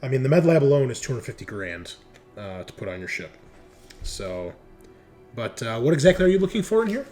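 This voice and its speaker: Male game master, mid-pitched, relaxed and conversational, improvising rulings over clearly audible background music. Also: fairly quick. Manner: easygoing, thinking aloud, improvising rulings. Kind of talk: casual tabletop game narration.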